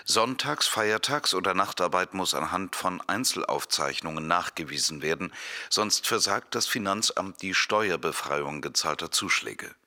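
Radio play: officious clerk, male, low-pitched but piercingly crisp tone, dry recitation of regulations. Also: expressive, normal speed, bright, forceful tone, full character voice.

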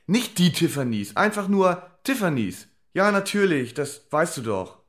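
A man's voice: a little high, big pitch swings, a little slow, a bit bright. A man playing an evasive, placating grown son, a struggling musician, in a radio drama.

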